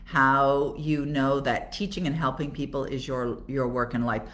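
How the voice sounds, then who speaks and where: Low-pitched older Canadian woman. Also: steady tone, measured pace, a bit bright. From Toronto, Canada. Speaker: coach and author hosting a live Q&A. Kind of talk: conversational live Q&A coaching talk.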